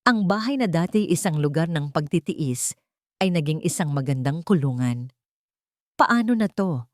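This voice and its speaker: A woman's voice: low voice, moderate pace, darkish tone, no echo. Dramatic woman storyteller, dry close sound. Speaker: narrator. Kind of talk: dramatic scripted story narration.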